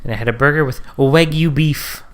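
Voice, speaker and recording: A man's voice: medium pitch, a little fast, a little hiss or hum. Man, podcast co-host, casual chat, gets worked up, swears.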